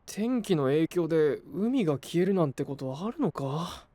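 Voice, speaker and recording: Sincere young anime hero guy, lively pitch swings, dry studio sound. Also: moderate pace, no echo. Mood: uneasy. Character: earnest, caring young male hero. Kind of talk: anime voice drama acting.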